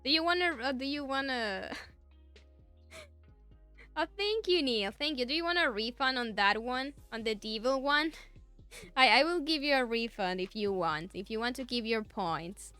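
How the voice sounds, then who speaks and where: A fairly high-pitched woman chats with her stream audience, giggling between phrases. Her voice is bright and very lively, rising and falling a lot. Soft music plays quietly behind her. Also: moderate pace, clear, resonant tone, no room sound. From Argentina. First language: Spanish. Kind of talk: casual chat with live chat viewers.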